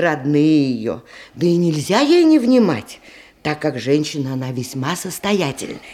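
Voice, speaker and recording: An actress playing a genteel provincial widow in a radio play, narrating in a chatty, ornate, old-fashioned way. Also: female voice, medium pitch, a little mellow. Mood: gossipy. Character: genteel provincial widow hostess of nineteenth-century Russia.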